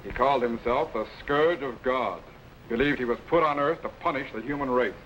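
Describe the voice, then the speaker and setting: An actor playing a commanding, knowledgeable scientist captain in a 1950s sci-fi serial, giving crisp orders. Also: male voice, mid-range voice, moderate pace, close to an everyday voice. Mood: explaining. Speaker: time machine captain. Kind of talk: 1950s radio-style sci-fi acting. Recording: dull lo-fi old broadcast with faint hiss.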